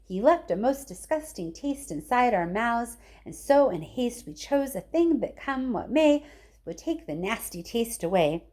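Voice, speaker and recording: A woman's voice: a little high, big pitch swings, a little slow, darkish tone. A woman voicing tiny, mocking, moralizing factory workers who chant in sing-song rhyme for a storybook. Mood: scornful.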